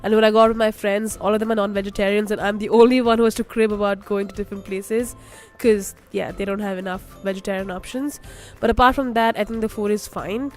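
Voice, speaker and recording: A young woman from India chats candidly as an interviewed student. Her pitch is middling, and faint music plays under her voice. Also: fairly even intonation, fast, a little mellow.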